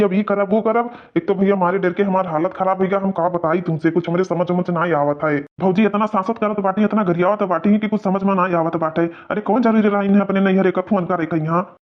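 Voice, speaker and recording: A man's voice: sits high, steady tone, brisk, hardly any pauses, close to an everyday voice. A man playing an aggrieved young village farmer in an Awadhi cartoon comedy, with an Awadhi accent and a whining, argumentative manner. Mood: complaining. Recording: dull, lo-fi cartoon audio.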